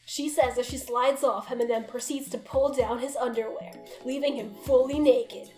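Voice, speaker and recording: A woman's voice: high voice, fairly even intonation, normal speed, mellow. A woman narrating a comic story as a dramatic reading. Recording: roomy echo, music behind.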